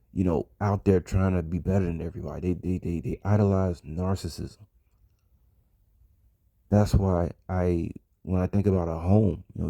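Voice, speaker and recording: A man's voice: sits low, gravelly, mellow, no room sound. An American man speaking in a casual personal monologue to his audience, rambling and repeating phrases for emphasis. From United States. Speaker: vlogger.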